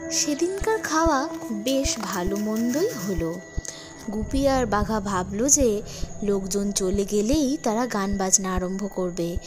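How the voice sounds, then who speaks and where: A woman narrating a children's folk tale in an expressive, dramatic storytelling manner. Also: medium pitch, measured pace, over music.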